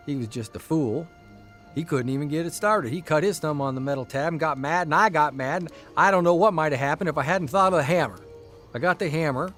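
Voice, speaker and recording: An actor playing a wry, weary newspaper columnist, telling stories in an audio drama in a plain, folksy deadpan. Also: male voice, on the high side, close to an everyday voice. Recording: music behind the voice.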